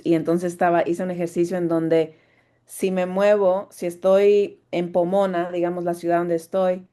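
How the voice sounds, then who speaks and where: A woman's voice: fairly low pitch, warm tone, clear, resonant tone. A woman who teaches voice, speaking thoughtfully on a video call, searching for her words and restarting often.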